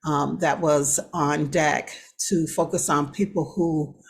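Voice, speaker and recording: A woman's voice: low-pitched, fairly even intonation, slow pace, breathy edge. A woman hosting an online radio show, chatting directly to her audience and commenting casually on the news. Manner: conversational, addresses audience directly. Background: music under the voice.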